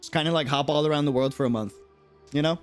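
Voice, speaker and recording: A man's voice: a little high, fast, faint music behind. An American man chatting casually and reacting in slang as he streams a game. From United States. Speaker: live streamer. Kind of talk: casual game livestream commentary.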